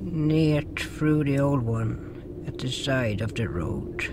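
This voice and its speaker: A man narrating a story in the first person, in a slow, earnest amateur reading. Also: mid-range voice, lively intonation, very noisy. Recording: mono narration over music.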